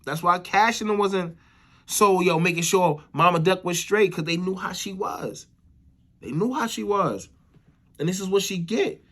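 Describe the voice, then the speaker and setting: A man's voice: high voice, normal speed, fairly bright tone. An American man giving casual, opinionated commentary as a YouTuber, repeating phrases for emphasis and often checking in with the listener. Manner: repeats phrases for emphasis, frequent "you know what I'm saying" tags.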